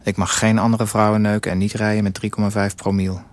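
An actor playing a cynical, unfaithful husband in a radio drama, speaking sardonic, coarse inner thoughts in a gravelly voice. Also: male voice, lowish voice, level tone, quick pace, lightly acted. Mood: bitter, confessional.

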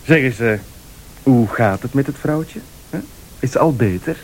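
An actor playing a slightly drunk, teasing, jovial friend who rambles haltingly, in a Flemish radio thriller. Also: male voice, medium pitch, animated, darkish tone, natural voice, poor audio. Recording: radio drama with clear tape hiss.

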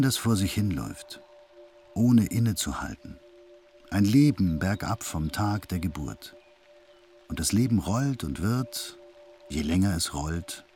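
A man with a low voice narrates a radio play. His scripted delivery has very varied intonation, over faint music. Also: a little mellow.

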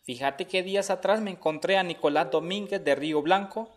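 A man's voice: sits high, a little fast, lightly acted. A man with a Honduran accent playing an indignant, colloquial community activist in a radio drama. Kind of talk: scripted radio drama dialogue. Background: music.

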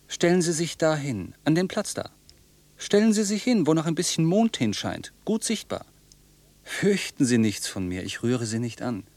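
A man playing a polite but unsettling, intense night traveller in a German radio play, speaking deliberately and theatrically. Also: a little high, darkish tone, no room sound, full character voice. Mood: coaxing, commanding.

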